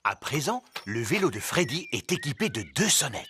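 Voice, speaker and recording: A man's voice: on the high side, big pitch swings, moderate pace, noisy background. A man narrating a children's cartoon in a scripted storytelling style. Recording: loud music under the voice.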